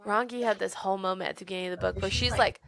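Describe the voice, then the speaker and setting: A woman co-hosting a podcast in casual book chat, retelling scenes in an animated way and swearing freely. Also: mid-range voice, racing, creaky. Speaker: podcast co-host.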